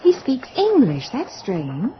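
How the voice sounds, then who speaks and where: An actress playing a naive, excitable, girlish young woman with a gushing manner in a radio drama. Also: a little high, slow, slight background noise, full character voice. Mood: uneasy. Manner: gushing, breathless exclamations. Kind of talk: old-time radio drama acting. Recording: dull old radio recording with music.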